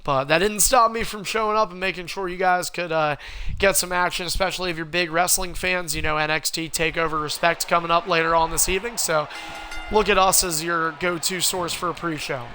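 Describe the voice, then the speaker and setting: A man with a cold, sounding hoarse, hosting a game livestream and calling the action play-by-play like a wrestling announcer. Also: high voice, steady tone, a little fast, sharp tone, slight background noise. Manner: wrestling-announcer calls, rising and punchy. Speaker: stream host and commentator.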